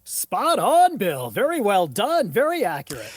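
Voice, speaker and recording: A man's voice: high-pitched, huge pitch swings, measured pace, husky, dry recording, clean studio sound. A man, a producer and sidekick, bantering on air with the host.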